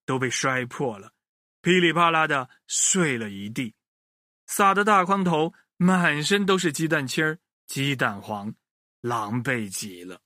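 A man narrates a storybook for small children with big swings in pitch. His voice is bright and forward, recorded close and dry, and he addresses his young audience directly. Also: no room sound.

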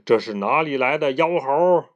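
A man's voice: fairly high pitch, lively intonation, a little slow, lo-fi sound, voice pushed up. Man doing an offhand heavenly emperor. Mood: stern. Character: easily annoyed male heavenly emperor.

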